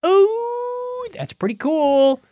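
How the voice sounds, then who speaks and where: A man's voice: extremely high pitch, sing-song, very slow, dark tone, dry recording, clean studio sound. A man co-hosting a podcast in a playful, informal way, moving between casual chat and read-out items. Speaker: podcast co-host.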